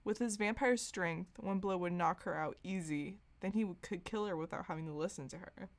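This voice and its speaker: A woman's voice: fairly low pitch, brisk. A woman podcast host reading fan fiction aloud for comedy, prone to giggling and dropping in her own asides. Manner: giggly, breaks into laughter while reading.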